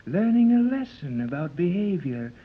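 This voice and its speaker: A man's voice: sits high, very animated, moderate pace. A man playing a scornful, menacing mad scientist, pompous, mocking and wordy, in 1930s radio serial style. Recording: tinny, narrow old 1930s radio recording.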